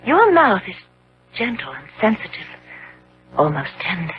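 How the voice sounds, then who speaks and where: A woman's voice: mid-range voice, sing-song, a little slow. An actress playing a devoted, anxious young fiancée in a 1970s radio drama, earnest and hesitant in her melodramatic delivery. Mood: uneasy. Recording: dull, noisy old radio broadcast.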